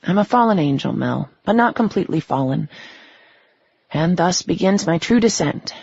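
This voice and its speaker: A woman's voice: on the low side, lively intonation, normal speed, gravelly, a little mellow, husky, no room sound, highs cut off, heavily acted. A woman reading an audiobook, voicing a young male fallen angel who is protective and speaks with earnest tenderness. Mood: tender.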